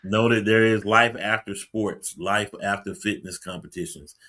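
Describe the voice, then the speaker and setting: A man's voice: on the low side, fairly even intonation, moderate pace, pushed and bright. A man hosting a podcast interview, encouraging his guest with frequent affirmations. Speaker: podcast host. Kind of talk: casual interview chat.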